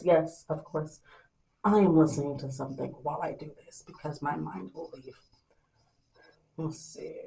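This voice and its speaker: A young woman vlogger in a small room at home, talking softly and rambling to the camera at night, sometimes losing her train of thought. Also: poor audio. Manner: soft and low-voiced at night. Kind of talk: casual late-night chat to camera.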